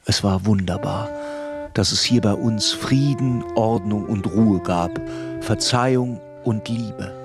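A man giving a measured, deliberate literary narration in a radio play. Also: lowish voice, vocal fry, over loud music.

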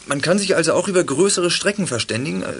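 A man's voice: fairly high pitch, lively intonation, fast, vocal fry, breathy edge, heavily acted. An actor playing a curious, condescending young man in a children's radio play, casual and slangy.

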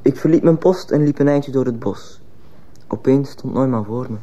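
A man's voice: mid-range voice, normal speed, warm tone, natural voice. A man playing a guarded teenage schoolboy on trial, answering tersely in a radio drama. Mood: sullen, guarded. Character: guarded, sullen teenage schoolboy accused of murder. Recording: muffled, hissy old radio play recording.

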